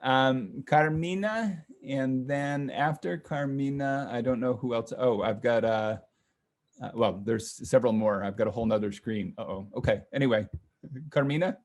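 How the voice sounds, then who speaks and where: A man's voice: medium pitch, normal speed. Man hosting a video call. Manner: relaxed, friendly, lots of fillers. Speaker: host of online class session. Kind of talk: casual introductions on a video call.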